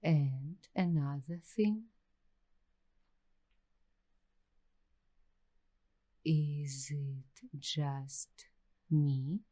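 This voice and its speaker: An uneasy young waitress, acted by a woman in a slow ASMR roleplay. Her voice is low and mellow, and she talks extremely slowly, in hushed fragments with long pauses, as if confiding her suspicions to the listener. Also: full character voice. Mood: unsettled. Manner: hushed, halting delivery in short broken phrases with long pauses.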